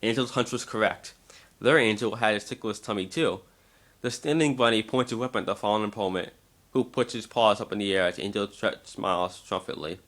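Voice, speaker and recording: Man, lower-pitched, amateur narrator, scripted story reading, occasional stumbles and repeats. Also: brisk, fairly bright tone.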